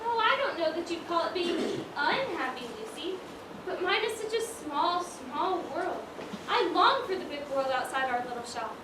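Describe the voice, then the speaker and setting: A woman's voice: very high-pitched, lively intonation, normal speed. A woman on stage playing a prim, wistful widowed dress-shop owner, full of old-fashioned exclamations. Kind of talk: amateur stage acting, one-act school play. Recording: roomy stage, distant mic, clear noise.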